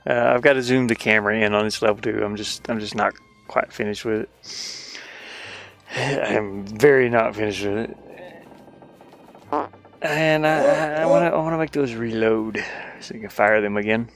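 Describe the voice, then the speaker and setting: A man giving casual, easygoing devlog commentary as an indie game developer, halting and thinking out loud while he works. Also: on the low side, faint music behind. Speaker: indie game developer showing his own game.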